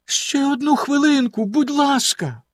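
A man reading a storybook aloud, voicing a terrified young wife who keeps pleading for a little more time in high, crying tones. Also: measured pace, no echo, clean studio sound.

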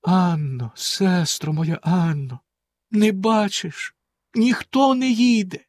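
A desperate young wife in a storybook, begging and calling out in a high, strained voice, as a male narrator puts her on. The sound is close and dry. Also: slow, darkish tone, dry recording. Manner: high, pleading, tearful voice.

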